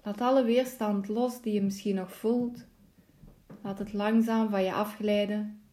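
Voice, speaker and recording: A woman leading a guided relaxation meditation as a yoga nidra instructor, giving calm, soothing, measured instructions. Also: mid-range voice.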